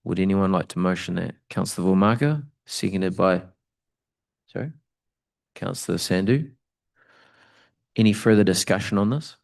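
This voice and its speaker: A man's voice: low-pitched, moderate pace, creaky, long pauses. A man, chairing a council meeting in a procedural, matter-of-fact way.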